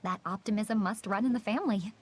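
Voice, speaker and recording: A woman voices a kindly village woman in a fantasy game. She is sympathetic, with a husky edge and a medium pitch. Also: fast talker, close to an everyday voice.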